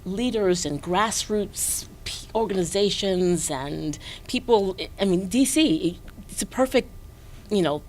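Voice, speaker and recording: A woman actor in an interview, talking fluently and expressively, at times trailing off softly. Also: mid-range voice, slow, slight background noise. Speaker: actor guest. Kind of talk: casual interview.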